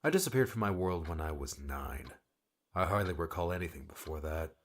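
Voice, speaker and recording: Casting: male actor as cold, weary guardian for audiobook drama, extremely low voice, terse and dismissive. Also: lively intonation, brisk. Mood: guarded, matter-of-fact. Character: curt, aloof male guardian, a weary loner.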